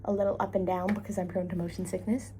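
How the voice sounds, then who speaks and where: A woman's voice: fairly low pitch, little pitch movement, quick pace, warm tone, slight background noise. A young woman from Labrador, Canada, chatting casually in a travel vlog, rambling and self-deprecating, hot and tired. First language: English. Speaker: travel vlogger.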